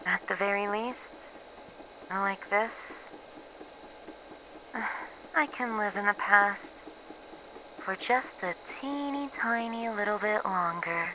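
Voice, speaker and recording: A weary, bitter female researcher played by a woman records a lonely log in a horror roleplay. She talks slowly and haltingly, with sighs and sardonic swearing. The voice is bright and mid-pitched, over faint background noise. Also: rough recording. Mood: wistful, resigned. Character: bitter, weary female researcher losing her memories, hiding alone. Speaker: voice actor in an audio roleplay. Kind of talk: ASMR horror roleplay monologue, acted audio log.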